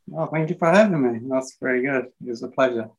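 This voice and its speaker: A man's voice: medium pitch, fairly quick, muffled recording. Man, interview guest, reflective, casual, filler words. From Greece. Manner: open, reflective, many fillers.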